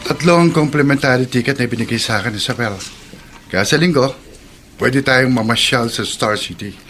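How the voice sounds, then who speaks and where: A man's voice: mid-range voice, fairly quick, a bit bright, natural voice. An actor with a Filipino accent playing a caring, patient young man, earnest and coaxing, in a radio drama. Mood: friendly, caring.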